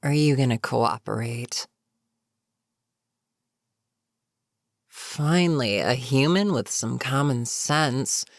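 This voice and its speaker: Voice actor doing a bitter goblin captain, creaky voice, takes their time, sardonic threats to a captive, fantasy roleplay. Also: mid-range voice, expressive, no echo, studio quality. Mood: bitter, scornful. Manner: sardonic, unhurried, matter-of-fact threats. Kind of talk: scripted fantasy audio roleplay, addressing the listener.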